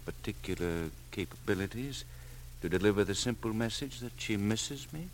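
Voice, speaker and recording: A man's voice: lowish voice, animated, normal speed. An actor playing a polite, guarded male servant with a formal, careful manner, in classic radio drama style. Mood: deferential, probing. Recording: humming, noisy vintage radio recording.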